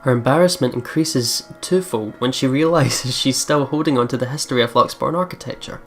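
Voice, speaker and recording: Chatty mid-pitched let's play host over music. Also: a little fast, a bit bright. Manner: chatty, informal, swears freely. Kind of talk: casual game commentary mixed with reading aloud.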